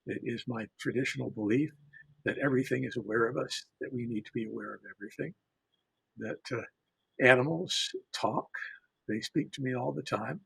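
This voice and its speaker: An older man from the United States, an author and storyteller, giving a reflective panel talk in a measured way with emphatic repetition. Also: medium pitch, gravelly, fairly bright tone, breathy edge, dry recording, low-quality recording.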